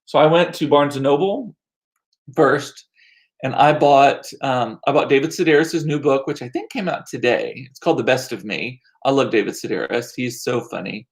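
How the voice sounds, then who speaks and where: A middle-aged American man chatting casually as a livestream host, rambling along with self-deprecating asides and animated, repeated words for emphasis. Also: mid-range voice, moderate pace. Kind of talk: casual chat to live viewers. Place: small home room used as studio.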